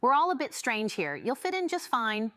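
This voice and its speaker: Female reader as upbeat audiobook sidekick woman, cooing and chirping, high and very animated. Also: normal speed, dry recording, clean studio sound. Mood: cheerful, cooing. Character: chirpy, cheerful, reassuring adult female soldier comrade.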